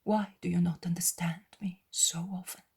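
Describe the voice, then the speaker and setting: Gentle grieving male teacher read by a woman, hushed whisper, low and gravelly, slow. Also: steady tone, heavily acted. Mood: sorrowful.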